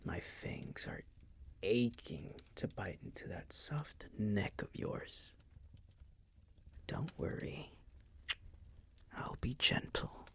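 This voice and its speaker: A man acts out a seductive vampire master in an intimate roleplay audio. His low voice is husky and gravelly, slipping often into whispers. He coaxes slowly and condescendingly, predatory yet doting and possessive. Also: lively intonation, fairly bright tone, full character voice. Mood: teasing, predatory. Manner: slow, teasing, condescending coaxing.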